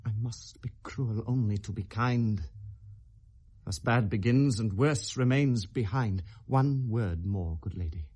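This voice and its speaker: Casting: anguished young Shakespearean prince, husky voice, RP English, impassioned and accusing, theatrical 1970s radio drama delivery. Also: male voice, lowish voice, unhurried, darkish tone. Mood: grave, pleading. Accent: British Received Pronunciation. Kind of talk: 1970s British classical radio drama acting, Shakespearean verse.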